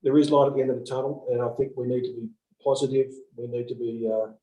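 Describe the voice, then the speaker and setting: Halting police officer in a roomy office. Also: male voice, mid-range voice, little pitch movement, mellow. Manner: halting, many ums and uhs. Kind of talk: online panel discussion. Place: office with blinds. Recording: roomy laptop audio.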